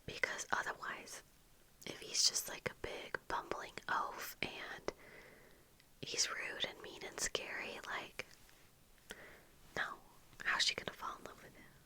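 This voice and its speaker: A young woman whispering in a casual ASMR get-ready-with-me chat, breathy and halting, close to the microphone. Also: bright, forceful tone. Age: young adult. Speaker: ASMR video creator. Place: small indoor room at home with bookshelves. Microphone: fluffy-covered microphone close in front, earphones on.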